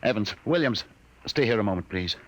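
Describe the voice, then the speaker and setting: An actor playing a compassionate, commanding spaceship captain in a 1950s radio drama, grave and earnest. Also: male voice, fairly low pitch, animated, fairly quick, natural voice, rough recording. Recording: vintage radio recording, faint noise.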